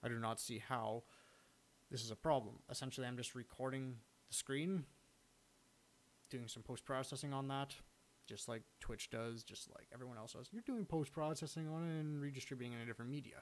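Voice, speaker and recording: A man giving a casual, unscripted screen-recording walkthrough of his own software, halting as he thinks aloud. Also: medium pitch. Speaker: software hobbyist demonstrating own add-on. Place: indoors, at a computer recording the screen.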